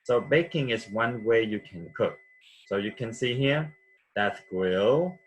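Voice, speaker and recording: A man's voice: medium pitch, expressive, slow pace. A man from Vietnam teaching vocabulary patiently, repeating words and checking with "okay?". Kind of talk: classroom vocabulary lesson.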